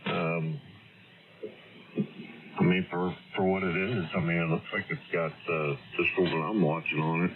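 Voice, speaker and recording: A man with a very low voice chats casually over amateur radio. The sound is squeezed into a narrow band, with clear static behind him. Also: fairly even intonation, normal speed, pauses often. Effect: radio transmission.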